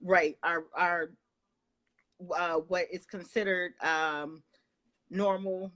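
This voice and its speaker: A woman hosting an interview from home, halting and full of fillers while she affirms her guest. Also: lowish voice, fairly even intonation, a bit bright. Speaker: interview host. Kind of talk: interview chat.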